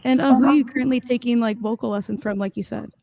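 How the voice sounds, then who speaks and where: A woman's voice: on the high side, steady tone, quick pace, mellow, slight background noise. A young woman hosting an interview, chatting casually with frequent likes and mm-hmms. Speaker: interviewer, podcast host.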